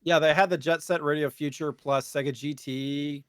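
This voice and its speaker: A man's voice: fairly high pitch, fairly even intonation, moderate pace, clear, resonant tone, no echo. American man chatting casually, dry close sound. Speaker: co-host of a retro gaming podcast. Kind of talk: casual chat about video games.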